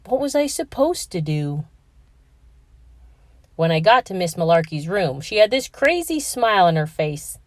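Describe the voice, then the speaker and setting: A woman's voice: on the low side, sing-song, unhurried, no echo, studio quality. Woman reading a picture book aloud. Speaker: children's book reader.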